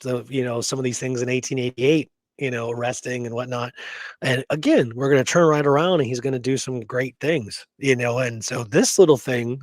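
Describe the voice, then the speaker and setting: A man's voice: mid-range voice, dry recording. A man hosting a podcast in a casual discussion of history, musing aloud with rhetorical questions and repeated phrases, and freely giving his opinions. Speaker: podcast host.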